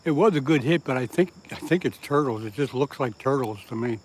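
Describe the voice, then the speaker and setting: A man of middle pitch from southeast Pennsylvania hosts a live fishing stream outdoors by the water. He chats casually, answers viewer comments and laughs at his own jokes. Faint insect noise hums behind him. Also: brisk, rough recording. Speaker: fishing livestream host.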